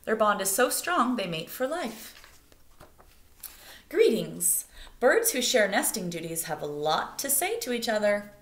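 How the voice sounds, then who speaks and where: A woman reads a nature picture book aloud as expressive narration meant for children. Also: mid-range voice, a little slow, slight background noise. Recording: echoey room.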